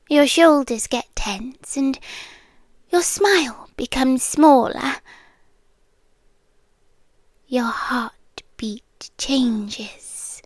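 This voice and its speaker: A woman with a British accent voicing a possessive, doting vampire girlfriend in intimate ASMR roleplay, breathy and often whispering close to the microphone. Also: high voice, dry recording, exaggerated voice. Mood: teasing, tender. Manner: slow, lingering, teasing menace. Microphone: close microphone, dry.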